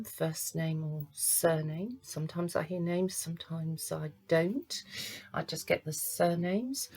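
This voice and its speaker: Slow, halting woman reading cards. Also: on the low side, darkish tone. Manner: halting, searching, pauses to sense impressions. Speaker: psychic medium. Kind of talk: monthly astrology and card reading to camera.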